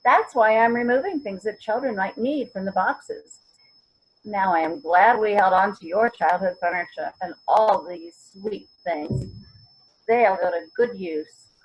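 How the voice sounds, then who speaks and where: A woman's voice: mid-range voice, a little slow, slightly rough, lo-fi sound. A woman acting a stubborn, principled, wry elderly mother in a readers theater drama, often searching for words and then stressing them. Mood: earnest. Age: older. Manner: word-searching, emphatic, wry.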